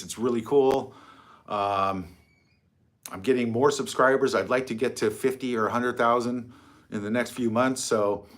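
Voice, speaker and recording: A middle-aged man hosting a casual livestream from his phone, rambling, mocking and swearing freely. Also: medium pitch. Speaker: livestream host.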